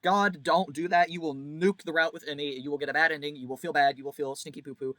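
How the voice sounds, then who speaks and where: A man's voice: a little high, steady tone, fast, a bit bright, no room sound. A man giving a casual, rambling game review in a blunt, jokey, informal way, leaning on words for emphasis. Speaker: video creator reviewing a visual novel.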